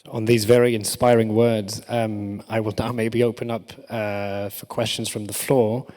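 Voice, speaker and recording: A man moderating a conference panel, polite and measured as he hands over to the floor. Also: on the low side, little pitch movement, darkish tone. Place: large conference hall. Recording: distant, long hall reverb.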